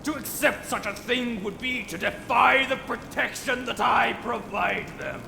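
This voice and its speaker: A British man voicing an ancient, imperious male dragon in a fantasy audio roleplay, speaking formally and commandingly in a gravelly voice. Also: expressive, sharp tone, faint music behind, full character voice. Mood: roaring fury. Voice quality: low, gravelly. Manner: formal, commanding, haughty, deliberate archaic phrasing. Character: ancient, imperious male dragon lord, proud, quick to righteous anger. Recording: cavernous reverb, long echo.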